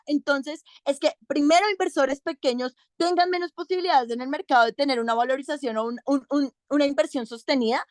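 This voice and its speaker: A woman's voice: high voice, no room sound, studio quality. A young woman giving a competitive debate speech, emphatic and counting her points off one by one. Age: young adult.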